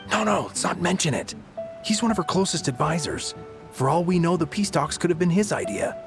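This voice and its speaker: An actor playing a secretive soldier who talks in a hushed, insistent way, in a video game. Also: male voice, high-pitched, animated, fairly quick, music in the background. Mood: conspiratorial.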